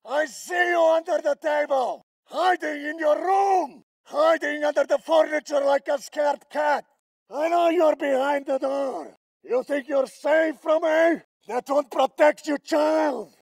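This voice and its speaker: An actor playing a brutal, threatening Russian soldier in a shooter game, with a Russian accent. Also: male voice, extremely high pitch, unhurried, creaky, no room sound, raised voice, full character voice. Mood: enraged, taunting. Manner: threatening, taunting. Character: brutal, menacing Russian soldier hunting a child. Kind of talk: combat voice lines for a shooter game.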